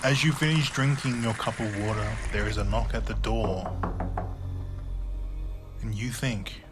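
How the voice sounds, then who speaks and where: A man narrating a scripted audio drama in the second person, in a measured storytelling manner. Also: lowish voice, fairly even intonation, over loud music.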